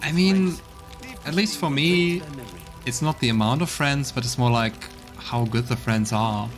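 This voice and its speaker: A man's voice: mid-range voice, a little slow, faint music behind. A man hosting a let's play, commenting casually in a reflective, conversational way.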